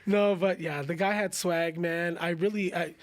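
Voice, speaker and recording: A young man with a raspy voice, chatting casually and animatedly as a singer guest. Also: high-pitched, normal speed, dry recording. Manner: casual, animated, says bro and man a lot. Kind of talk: casual chat.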